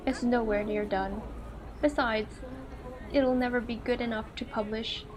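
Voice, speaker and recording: A woman's voice: fairly high pitch, fairly even intonation, a little slow, crowd noise, close to an everyday voice. A woman voicing an aloof, self-doubting literature student in a visual novel, reserved, dry and reluctant. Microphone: earphone mic. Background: game music.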